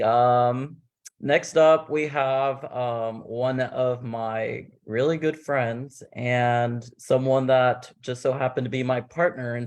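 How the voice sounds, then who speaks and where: A man introduces players one by one in a loose, rambling way. His voice sits at an ordinary middle pitch, and he leans on fillers while he works out what to say next. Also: darkish tone. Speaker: host revealing a game cast. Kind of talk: casual online cast reveal.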